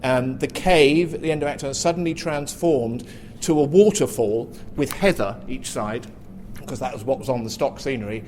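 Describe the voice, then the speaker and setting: A man's voice: medium pitch, normal speed, a little mellow. A man in his sixties speaking as a panellist, telling anecdotes in a discussion. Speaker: panellist, theatre author. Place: theatre, live panel discussion. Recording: live recording with faint noise.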